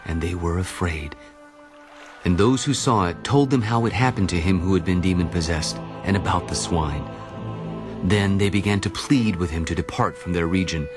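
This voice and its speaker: A man narrating a dramatized audio Bible in a solemn, deliberate storytelling manner. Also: sits low, music in the background.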